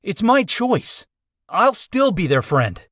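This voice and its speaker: Earnest young student, higher voice. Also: male voice, very animated, slow pace, a bit bright, dry recording, clean studio sound, exaggerated voice. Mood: firm. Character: young male college student assistant, earnest, loyal. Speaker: voice actor in a visual novel. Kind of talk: visual novel character dialogue.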